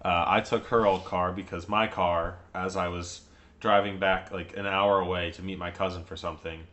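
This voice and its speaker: Laughing, chatty lower-voiced man. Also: level tone, normal speed, a bit bright, dull audio. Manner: chatty storyteller, laughs often, swears casually. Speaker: podcast co-host. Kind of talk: casual chat.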